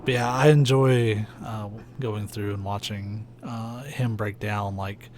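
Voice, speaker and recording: A young man with a soft, soothing voice, chatting casually and rambling while he plays a video game on stream. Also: on the low side, slow pace. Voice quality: soft, soothing, sleepy-sounding voice. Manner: rambling, laid-back, many "like"s and "uh"s. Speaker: let's play streamer. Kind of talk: casual commentary while gaming. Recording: steady background noise hiss.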